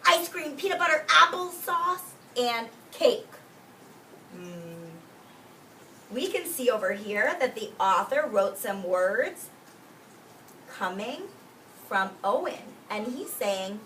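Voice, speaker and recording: Recorded at a distance with faint noise, a woman preschool teacher reads a storybook aloud in a slightly high, bright voice. She is expressive and deliberate, stretching key words and pausing to explain things simply to small children. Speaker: preschool teacher reading a picture book aloud. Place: indoors in a classroom with young children. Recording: roomy distant mic with faint background noise.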